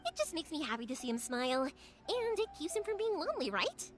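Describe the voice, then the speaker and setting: Game heroine, young and warm-hearted, very high animated voice, chatty and casual. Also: female voice, brisk. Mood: fond, reflective. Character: cheerful, warm-hearted young woman, fireworks maker and doting daughter. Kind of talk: English video game character acting. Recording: music playing behind the voice.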